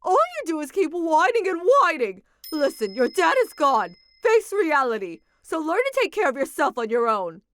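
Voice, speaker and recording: A woman voicing a cold, domineering mother in a manga dub, talking in loud, sharp scolding. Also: high voice, sing-song, normal speed, few pauses, pitched above the performer's own voice.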